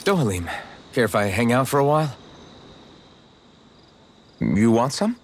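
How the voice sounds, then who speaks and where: A man's voice: mid-range voice, sing-song, a little slow, a little hiss or hum. A man playing a modest, good-natured young swordsman with a casual, unpretentious way of talking, in video game dialogue. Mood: relaxed, thoughtful. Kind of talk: English-language video game character dialogue.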